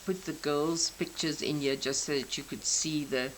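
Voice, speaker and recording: A South African woman in her sixties, a native Afrikaans speaker, gently explaining each detail of her craft journal. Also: sits low, steady tone, normal speed, a little hiss or hum, low-quality recording. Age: 60s. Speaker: craft channel host. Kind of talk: crafting show-and-tell flip-through.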